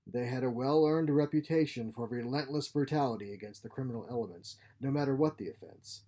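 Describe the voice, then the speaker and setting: A man's voice: medium pitch, dark tone, slightly rough. A man giving a scripted narration of a science fiction short story. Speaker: author reading own short story.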